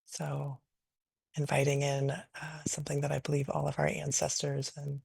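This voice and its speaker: A woman leading a guided meditation in a gentle, unhurried, invitational way, improvising softly and leaving long pauses. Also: low-pitched, completely flat delivery, gravelly, slightly rough, no room sound. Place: online video call session.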